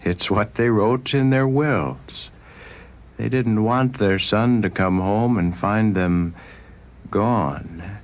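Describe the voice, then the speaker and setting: A man playing a sober, matter-of-fact small-town banker in a radio story, deliberate and resigned. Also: lowish voice. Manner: deliberate, explanatory.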